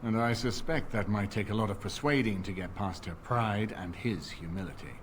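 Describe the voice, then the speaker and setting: A man's voice: fairly low pitch, moderate pace, noisy background, lightly acted. A man playing an earnest, shrewd noble statesman in a fantasy video game, with a formal, persuasive delivery. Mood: scheming, measured.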